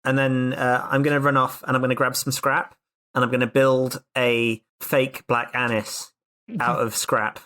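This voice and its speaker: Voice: mid-range voice, flat delivery, normal speed, a bit bright. A tabletop game player improvising actions in a casual, conversational way.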